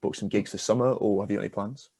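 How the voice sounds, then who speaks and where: Fast-talking low-voiced male interviewer, dry sound. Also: steady tone, warm tone, breathy edge, dry recording. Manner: encouraging, wordy, tentative questions. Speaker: radio interviewer. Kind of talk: casual interview.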